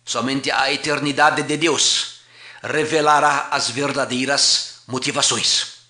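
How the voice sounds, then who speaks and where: Pastor guy reading a church history, bright crisp voice, kinda echoey room. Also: medium pitch, fairly even intonation. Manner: measured, formal reading. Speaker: pastor narrating parish history. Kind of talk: scripted documentary narration.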